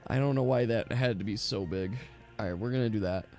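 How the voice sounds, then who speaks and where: A man's voice: lowish voice, brisk, a little mellow, soft background music. A man acting as game master of a casual tabletop role-playing game, chatty and explaining the rules as he goes.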